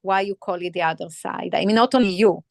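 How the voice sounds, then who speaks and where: A middle-aged woman with an Israeli accent, speaking earnestly and haltingly as a participant on a video call. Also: mid-range voice, gravelly, no room sound, studio quality.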